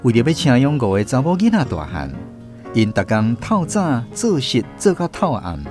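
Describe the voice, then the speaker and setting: A man with a Taiwanese accent narrating a scripted children's radio story in an expressive storytelling manner. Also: medium pitch, moderate pace, soft background music.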